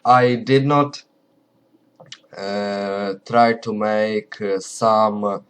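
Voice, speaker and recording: A man with a Ukrainian accent, English not his first language, talking quietly and carefully to camera and often pausing to search for words. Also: lowish voice, steady tone, clear, resonant tone. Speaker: video creator. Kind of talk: casual talk to camera.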